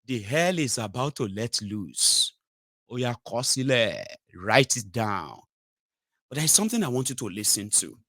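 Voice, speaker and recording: A man's voice: mid-range voice, a bit bright, no room sound. A Nigerian-accented man delivers heated political commentary, dropping Yoruba phrases into his English. The sound is dry and close, with almost no room around it. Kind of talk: impassioned commentary.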